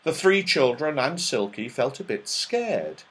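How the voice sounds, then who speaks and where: A man reads a children's storybook aloud in a medium-pitched voice. His intonation swings widely, and the delivery is dramatic and expressive throughout. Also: a little slow. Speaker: audiobook reader.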